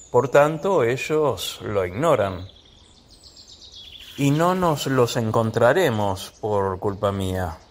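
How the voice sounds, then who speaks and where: An actor playing a humble disciple defending himself in a measured way in a radio drama. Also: male voice, mid-range voice. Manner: measured, self-justifying.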